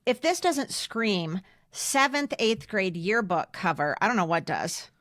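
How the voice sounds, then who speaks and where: A woman's voice: medium pitch, lively intonation, unhurried, a bit bright, dry recording, clean studio sound. An American woman in her fifties, a podcast co-host telling stories casually with wry emphasis. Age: 50s. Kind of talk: casual, chatty storytelling.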